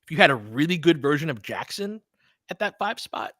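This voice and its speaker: A man doing casual fan play-by-play of a game as a host, in an excitable, slangy style with some swearing. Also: on the high side, a little fast, no echo, clean studio sound. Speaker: sports podcast host calling a game.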